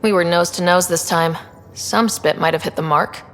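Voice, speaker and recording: A woman's voice: lowish voice, normal speed, a bit bright, long reverb tail, soft background music, natural voice. An actress playing a plainspoken, curious female space explorer in a video game, dry and casual in manner. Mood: casual, friendly. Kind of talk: video game dialogue acting.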